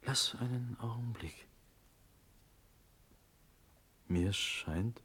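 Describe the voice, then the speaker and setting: An actor playing a proud, honour-bound young prince in a classical verse radio drama, with solemn, declamatory delivery that moves between hushed and forceful. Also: male voice, mid-range voice, slow pace, slightly rough. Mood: hushed, brooding.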